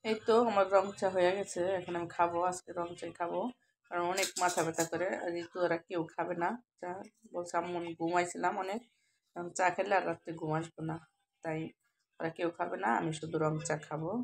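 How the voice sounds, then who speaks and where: Home vlogger, female, Bangladeshi accent. Lowish creaky voice, chatty and direct to viewers, slightly noisy home recording. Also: flat delivery, brisk. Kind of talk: casual home cooking vlog chat. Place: at home, partly in the kitchen while frying.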